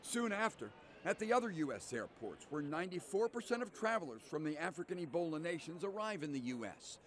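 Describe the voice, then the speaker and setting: Animated, higher-pitched American male correspondent. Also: fairly quick. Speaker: network news correspondent. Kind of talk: scripted news report narration.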